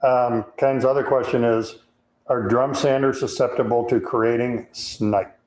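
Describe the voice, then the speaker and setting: A deep-voiced man in his fifties with a Chicago accent, hosting a live woodworking question session. He reads viewer questions aloud in a careful, measured way. His voice bounces around a roomy shop space. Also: fairly even intonation, a little mellow. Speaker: host. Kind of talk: live Q&A chat.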